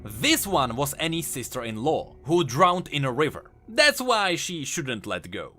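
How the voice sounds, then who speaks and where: A man's voice: a little high, sing-song, unhurried, pushed and bright, faint music behind. A man hosting a scripted explainer video as a game lore commentator.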